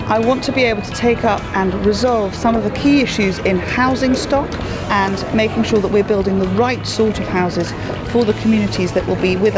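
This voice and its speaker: A woman who is a parliamentary candidate, speaking forcefully and emphatically in a campaign video, recorded outdoors. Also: mid-range voice. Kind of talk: campaign video, addressing camera. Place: outdoors, village and town. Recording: music bed behind.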